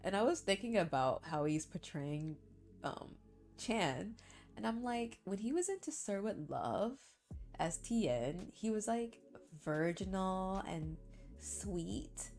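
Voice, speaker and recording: A woman's voice: medium pitch, very animated, slow pace, a little mellow, soft background music. A young woman chatting casually to her viewers, sounding congested from the flu. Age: young adult. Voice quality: congested, stuffy-nosed. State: sick with flu. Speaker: reaction channel host. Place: small bedroom, indoors.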